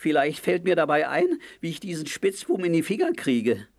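An actor playing a tough, good-hearted sailor hero in a children's audio drama, boastful, muttering and full of exclamations. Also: male voice, fairly high pitch, wildly swooping pitch, a little fast, creaky, very noisy, full character voice. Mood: grumbling.

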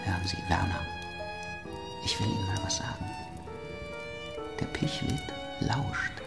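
An actor playing an uneasy, suspicious baron in a German radio drama, blunt and confiding in manner. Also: male voice, very high-pitched, huge pitch swings, measured pace, creaky, roomy sound, over loud music, full character voice.

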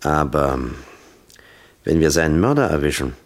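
Stubborn police lieutenant in german radio crime drama, deep voice, matter of fact, sceptical. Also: male voice, expressive, moderate pace. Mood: pensive, determined.

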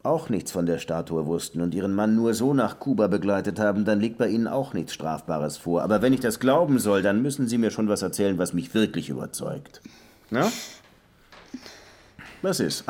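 An actor playing a brusque, sardonic police detective in a German radio crime drama. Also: male voice, lowish voice, animated, a little fast, a little mellow. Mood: brusque, pressing. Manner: commanding, biting interrogator. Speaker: lead actor and first-person narrator.

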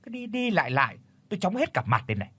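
A man's voice: high voice, huge pitch swings, racing, bright, forceful tone, breathy edge, no echo, voice pushed up, full character voice. A man voicing a quarrelsome middle-aged village wife who scolds and wails, in a spoken storybook. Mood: nagging. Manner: scolding, wailing.

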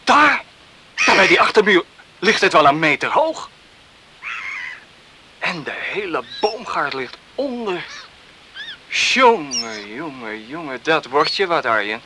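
Animated high-pitched rural island man. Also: slow pace, pauses often, full character voice. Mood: concerned. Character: Siebe, good-natured rural islander man, helpful and concerned. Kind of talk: Dutch radio play acting. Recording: noisy old radio play recording.

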